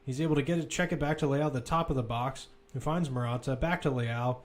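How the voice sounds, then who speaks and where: A man's voice: mid-range voice, brisk. A man giving live football play-by-play commentary, describing the action as it runs, with asides and self-corrections.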